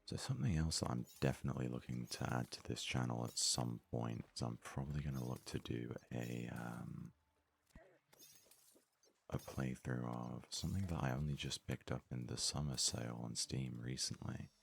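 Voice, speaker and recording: Very deep, gravelly man doing soft ASMR. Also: fairly even intonation. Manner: soft-spoken, low-key, unhurried musing. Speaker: gaming video host. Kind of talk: ASMR let's play commentary.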